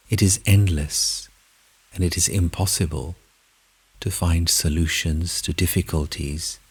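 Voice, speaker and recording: A man with a husky, breathy voice narrates a guided meditation in measured, deliberate short phrases with long pauses. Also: deep voice.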